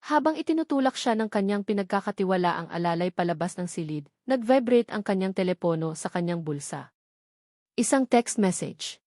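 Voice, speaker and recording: A woman's voice: mid-range voice, lively intonation, moderate pace, darkish tone, no echo. Woman narrating dramatic fiction, dry sound. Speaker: story narrator. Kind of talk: scripted dramatic romance narration.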